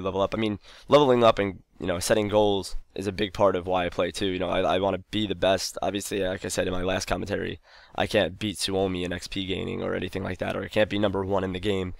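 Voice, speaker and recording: A young man talks loosely over his own gameplay, drifting between thoughts with plenty of fillers and false starts. His voice sits very low with a gravelly, fried edge, tired and wearing thin. The sound is dry and close, with no room around it. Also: no room sound. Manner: rambling, loose, lots of fillers and restarts. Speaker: gaming channel host. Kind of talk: casual gameplay commentary.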